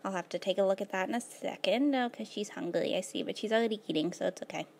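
Slightly hoarse woman rambling over gameplay. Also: medium pitch, quick pace, a little mellow. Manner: rambling, filler-heavy, laid-back. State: slightly hoarse, losing her voice. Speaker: gaming video host. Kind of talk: casual gameplay commentary.

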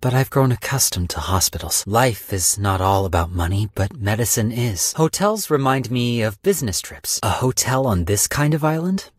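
Husky, cynical video game stock broker. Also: male voice, mid-range voice, big pitch swings, moderate pace, few pauses, no echo, clean studio sound. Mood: calm, wry. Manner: wry, businesslike, politely detached. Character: smooth-talking, money-minded, cynical male stock broker. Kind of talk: video game character voice lines.

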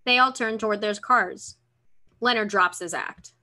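A woman's voice: mid-range voice, measured pace, sharp tone. A young woman reading stage directions as scripted narration over a video call. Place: room at home.

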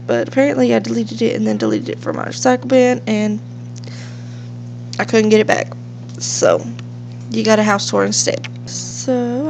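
A young woman with a slight cold narrates her game in a loose, chatty way, full of fillers and side stories. Her voice is fairly high and swings around a lot, with a gravelly fry at the ends of phrases. A faint hum from a fish tank sits under the home recording. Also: darkish tone, low-quality recording. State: sniffly from a cold. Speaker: gaming channel host. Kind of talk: casual let's-play commentary.

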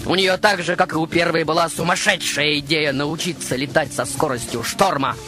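A man hosting a radio show, delivering a scripted literary monologue in a dramatic, deliberate, theatrical manner. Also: a little high, steady tone. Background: music behind the voice.

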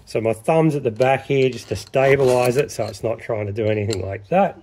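A man's voice: mid-range voice, brisk, a little mellow, slight background noise. Plain-spoken man in a workshop. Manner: plain-spoken, matter-of-fact, practical. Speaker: chainsaw sharpening instructor. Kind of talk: casual workshop demonstration. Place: workshop bench.

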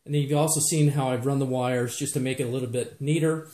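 An echoey indoor recording of a man presenting a how-to project. His voice sits at an average male pitch. He explains each step in a plain, methodical way, like a practical instructor. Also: steady tone, fast, dark tone. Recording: echoey room.

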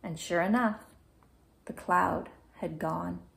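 A woman in her thirties reading a children's picture book aloud in a gentle, measured, clearly phrased way. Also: fairly low pitch. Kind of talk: children's storybook read-aloud. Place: small indoor room at home.

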